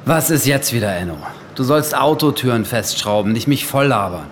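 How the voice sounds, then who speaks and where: An actor playing a gruff, impatient workshop boss in a radio crime drama, curt and irritable. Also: male voice, mid-range voice, animated, normal speed, slight background noise, close to an everyday voice. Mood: irritated.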